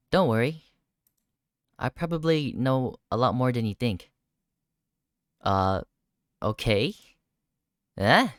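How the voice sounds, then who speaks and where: A game streamer with a mid-pitched voice reads a visual novel aloud. The narration and dialogue give way to startled personal reactions. The recording is very dry, with almost no room sound. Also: no echo. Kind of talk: gaming playthrough, reading visual novel text aloud.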